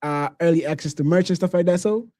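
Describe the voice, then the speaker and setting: A man hosts a podcast in a loose, casual way, dropping slang and "bro" as he talks. His voice is warm and mellow and sits a little high for a man. The sound is dry and close, with almost no room in it. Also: fast, dry recording. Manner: relaxed, slangy, says "bro" often. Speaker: podcast host.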